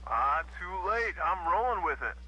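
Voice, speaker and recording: An actor playing an eager, goofy young college roommate calling out off-mic over a phone line in a video game. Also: male voice, high voice, big pitch swings, a little slow, sharp tone, husky, full character voice. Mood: stubbornly playful. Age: young adult.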